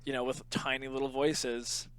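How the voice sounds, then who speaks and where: A man's voice: medium pitch, flat delivery, moderate pace, a bit bright, smooth, poor audio. A man co-hosting a podcast, chatting casually in a joking, sarcastic way. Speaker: podcast co-host. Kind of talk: casual chat.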